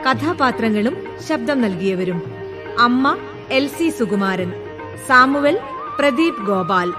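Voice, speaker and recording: A woman radio announcer reading the closing credits of a radio play in a measured, formal way. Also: fairly high pitch, expressive, over loud music.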